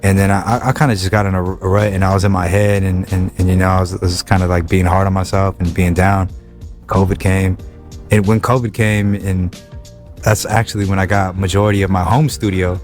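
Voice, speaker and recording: A young man from Wilmington, California, a rapper chatting as a podcast guest, talking in halting bursts full of repeated words and restarts. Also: deep voice, little pitch movement, a little mellow, soft background music. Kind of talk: casual interview chat.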